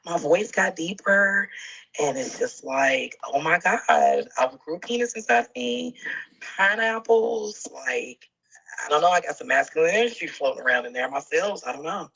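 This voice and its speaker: Woman, guest, casual talk-show chat, husky, swinging pitch. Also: normal speed, low-quality recording. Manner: laughing, animated.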